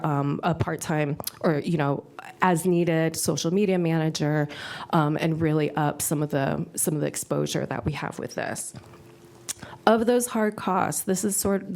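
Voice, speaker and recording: Woman at a council podium, slightly low-pitched, scratchy with a cold, earnest and upbeat, lots of ums. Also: a little mellow. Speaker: presenter to city council. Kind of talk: public presentation at a council meeting. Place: city council chamber.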